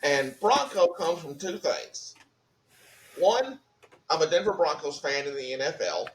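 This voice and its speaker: A man's voice: on the high side, sing-song, measured pace. Laid-back man, roomy and distant. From Mississippi, USA. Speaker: podcast guest. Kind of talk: casual interview answers.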